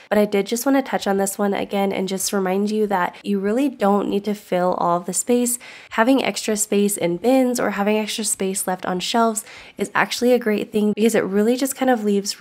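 A woman in her thirties, chatting upbeat and enthusiastic as she shows her home organizing in a vlog. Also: medium pitch. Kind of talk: casual voice-over and to-camera chat. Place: at home, in a storage closet and upstairs hallway.

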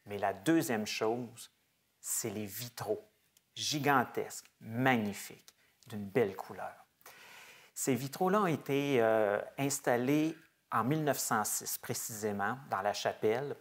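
A man in his forties, a museum research director, explaining the history of a chapel's stained glass in a measured, hesitant way with frequent fillers. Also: medium pitch, lively intonation. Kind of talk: explanatory heritage talk. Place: small wood-paneled chapel, indoors.

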